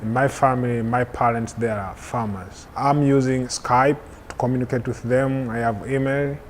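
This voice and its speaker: A man from Tanzania with a Tanzanian accent, whose first language is Hehe, describing his work and studies in measured speech with hesitations. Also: medium pitch, fairly even intonation, a little hiss or hum. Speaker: media technician and student. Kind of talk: interview.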